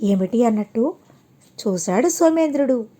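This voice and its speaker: A woman's voice: a little high, expressive, normal speed, mellow. A woman narrating a scripted moral folk tale as a storyteller for an audiobook. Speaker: storyteller and channel host.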